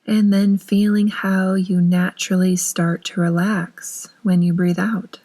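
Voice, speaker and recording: A woman narrating a guided meditation in a soothing, unhurried manner with long pauses between phrases. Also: lowish voice, little pitch movement, mellow.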